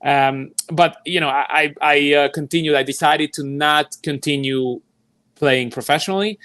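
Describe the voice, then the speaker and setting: A man's voice: on the high side, moderate pace, fairly bright tone, dry recording. A man in his thirties from Hungary with a Hungarian accent, a youth soccer director answering interview questions in a thoughtful way, with many fillers and restarts. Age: 30s. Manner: thoughtful, explains at length with frequent fillers and restarts. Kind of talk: live interview conversation.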